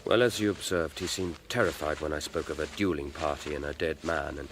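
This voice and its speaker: An actor playing a haunted young English gentleman, anguished and fearful, with overwrought formal phrasing in a period radio drama. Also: very deep voice, steady tone, a little fast. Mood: weary, explanatory. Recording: studio radio drama with background ambience noise.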